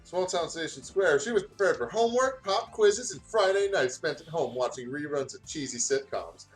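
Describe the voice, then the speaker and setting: Higher-pitched man reading aloud over music. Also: expressive, normal speed, poor audio. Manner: giggly, goofy, often stumbling over what he reads. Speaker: host of a let's play channel. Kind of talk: casual joking chat and reading fan fiction aloud. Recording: music playing behind the voice.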